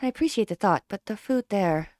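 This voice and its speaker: A woman voicing a gentle, polite, composed teenage schoolgirl in a visual novel, speaking in a soft, measured, courteous way. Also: mid-range voice, lively intonation, no room sound, studio quality, pitched above the performer's own voice, close to an everyday voice.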